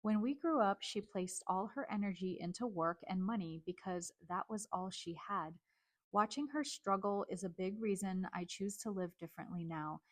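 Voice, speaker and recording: A woman in her forties whose first language is Chinese, hosting a reflective personal monologue in a calm, earnest, measured way. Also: medium pitch. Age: 40s. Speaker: host.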